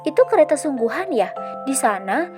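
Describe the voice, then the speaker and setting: A woman voicing an excited little girl in a read-aloud storybook, calling out eagerly. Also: high-pitched, brisk, over music, pitched above the performer's own voice.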